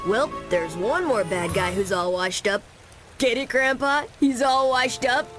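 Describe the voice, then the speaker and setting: A cocky ten-year-old boy hero, acted by a woman cracks wise in an American accent. The voice is fairly high and sits in a cartoon game scene with very loud music underneath. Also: measured pace, close to an everyday voice. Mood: wisecracking. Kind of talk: animated game cutscene acting.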